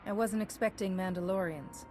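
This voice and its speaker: Woman playing a calm determined warrior leader lady, mid voice, measured, bit of game music under. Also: mid-range voice, close to an everyday voice. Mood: composed, resolute. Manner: measured, authoritative. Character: resolute, composed female Jedi commander. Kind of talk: video game voice acting.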